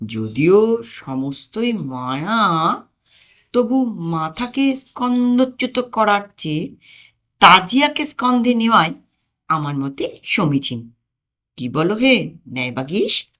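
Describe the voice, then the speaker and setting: A woman's voice: mid-range voice, very animated, slow, long pauses, fairly bright tone. A woman voicing a philosophical, resigned old male pundit with formal, ponderous learned diction in a comic story. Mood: resigned, pompous.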